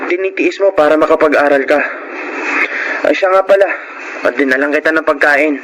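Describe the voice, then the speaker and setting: Man as kindly Filipino schoolteacher, higher-pitched voice. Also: brisk, noisy background, close to an everyday voice. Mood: kind, encouraging. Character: kind, encouraging male village schoolteacher. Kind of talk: Filipino radio drama acting.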